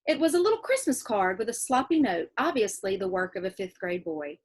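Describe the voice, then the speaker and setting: A woman reading a children's story aloud like a teacher, expressively, pausing to explain and check in with her young listeners. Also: medium pitch, very animated, husky.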